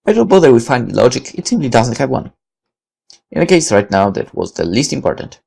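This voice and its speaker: Creaky-voiced man narrating a visual novel. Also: medium pitch, sing-song, fairly quick, a little mellow. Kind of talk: dramatic read-aloud of scripted first-person narration.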